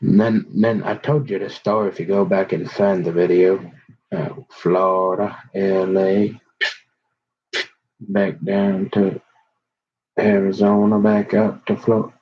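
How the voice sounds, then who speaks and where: Husky man rambling to his viewers. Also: low voice, little pitch movement, measured pace. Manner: meandering, restarts often, talks to viewer. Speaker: vlogger. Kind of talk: rambling casual monologue. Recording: dull lo-fi audio.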